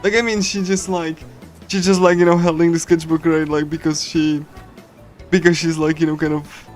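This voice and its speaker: Male streamer, slightly high voice, giggly and hesitant casual readthrough over game music. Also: little pitch movement. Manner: halting, repetitive, giggly. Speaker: livestreamer reading a visual novel.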